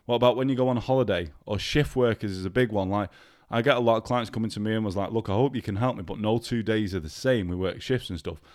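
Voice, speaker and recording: A man's voice: lowish voice, fast talker, darkish tone, no room sound, studio quality. A man hosting a casual solo podcast, chatty and swearing freely while sounding ill and congested. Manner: chatty, swears freely, addresses listeners directly. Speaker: podcast host.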